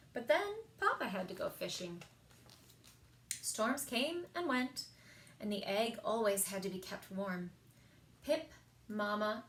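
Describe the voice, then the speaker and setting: A woman reading children's stories aloud as a story time reader, in an expressive, animated storytelling style. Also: mid-range voice. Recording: echoey room.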